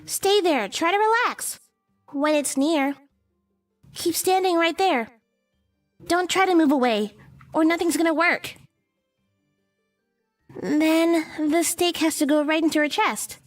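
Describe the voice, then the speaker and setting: A woman playing a bossy young witch in a visual novel, giving brisk instructions. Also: very high voice, recorded from a distance, faint music behind. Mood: bossy, serious. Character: bossy young female witch casting guarding spells. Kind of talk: visual novel character dialogue.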